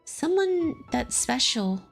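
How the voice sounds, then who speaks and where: Gravelly-voiced woman reading a game story. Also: sits high, big pitch swings, slow, faint music behind. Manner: reads narration aloud, breaking off to joke and laugh. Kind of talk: dramatic reading with commentary.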